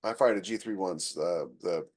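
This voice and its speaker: A man's voice: deep voice, normal speed, breathy edge. A man co-hosting a podcast in loose, casual conversation. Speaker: podcast co-host.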